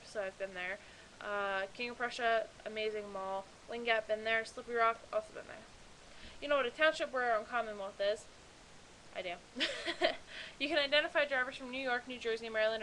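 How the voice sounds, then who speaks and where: A woman's voice: a little high, fairly bright tone, poor audio. A young woman from Pennsylvania with a Pennsylvania accent, chatting casually as a vlogger and reading a list aloud with lots of "like" fillers. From Pennsylvania, USA. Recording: faint hiss.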